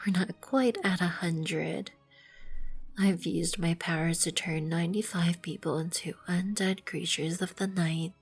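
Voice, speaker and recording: A woman playing a proud, composed vampire queen in an ASMR roleplay, with an imperious, formal manner and a breathy, husky voice. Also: lowish voice. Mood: measured, appraising. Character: regal, composed, proud vampire queen, protective of her colony. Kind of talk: ASMR roleplay, scripted second-person acting. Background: background music.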